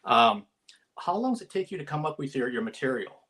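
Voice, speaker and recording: A man's voice: medium pitch, sing-song, fairly quick, fairly bright tone. Chatty male interview host. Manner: friendly, chatty, many fillers. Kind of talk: live-stream interview.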